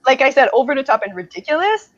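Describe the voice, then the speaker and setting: A woman co-hosting a book club chat in a casual, opinionated, animated way, with lots of hedging. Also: sits high, quick pace, highs cut off.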